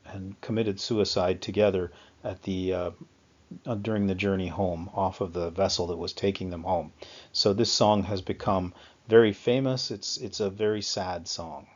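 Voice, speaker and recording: A man giving an unscripted lecture on music history, often pausing with fillers and correcting himself. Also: low-pitched, fairly even intonation. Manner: halting, frequent fillers and self-corrections. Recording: slightly dull lo-fi sound.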